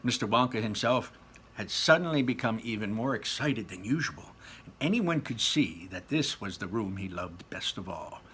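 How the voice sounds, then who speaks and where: A man's voice: lowish voice, lively intonation, normal speed, a bit bright, a little hiss or hum. A man reading a children's book aloud as a storyteller, with dramatic emphasis on descriptive words and casual asides.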